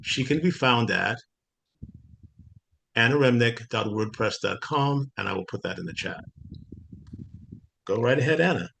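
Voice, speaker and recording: A man's voice: medium pitch, a little slow, creaky, long pauses, a bit bright, a little hiss or hum. A man hosting a poetry reading, making announcements and introducing poets.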